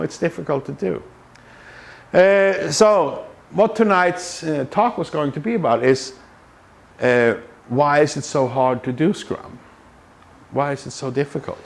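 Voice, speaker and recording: A man from Sweden, a Scrum trainer giving a conversational talk to a meetup group, with many fillers and restarts. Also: mid-range voice. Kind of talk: conference talk. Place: meetup room.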